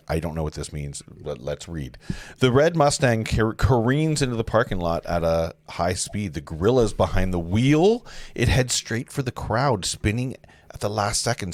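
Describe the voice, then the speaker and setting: A man's voice: lowish voice, darkish tone, dry recording. A man hosting a table read, reading stage directions aloud in an informal, amused way with casual asides. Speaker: host and stage-direction reader.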